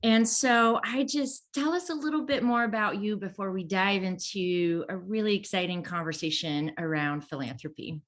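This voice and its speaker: A woman's voice: medium pitch, fairly even intonation, normal speed, hardly any pauses. A woman in her thirties hosting an interview show, enthusiastic and upbeat, speaking over a video call. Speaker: podcast host. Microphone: video call laptop mic.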